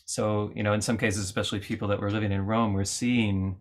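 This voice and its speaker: Low-voiced man, thoughtful with many fillers. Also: little pitch movement, a little fast. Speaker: lutenist guest. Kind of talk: conversational interview answers.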